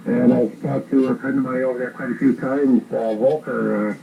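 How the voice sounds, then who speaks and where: A man's voice: medium pitch, fairly even intonation, moderate pace, gravelly, narrow, tinny audio. A Canadian man chatting casually as an amateur radio operator, in a rambling, conversational way, heard over a radio transmission. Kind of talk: casual ham radio contact. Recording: noisy radio transmission captured by phone.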